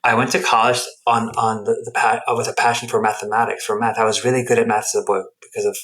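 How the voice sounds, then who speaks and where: A man's voice: fairly low pitch, little pitch movement, fast talker, fairly bright tone. A man who grew up speaking Spanish and English, telling his story as a podcast guest in a reflective, rambling way with many restarts. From United States. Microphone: headset earphones.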